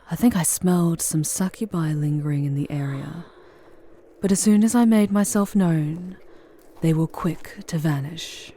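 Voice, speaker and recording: Woman playing a slow, sultry female demon. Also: lowish voice, mellow. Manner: sultry, breathy, often dropping into whispers; theatrical, formal, drawn-out phrasing. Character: charming, seductive female demon guide through hell. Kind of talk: intimate ASMR roleplay, scripted monologue. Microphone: close ASMR microphone.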